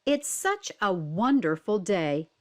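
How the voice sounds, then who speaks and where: Woman voicing frank storybook animal friend, lively intonation. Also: medium pitch, slow. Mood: frank concern. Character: friendly, frank animal neighbors gently questioning their grumpy friend.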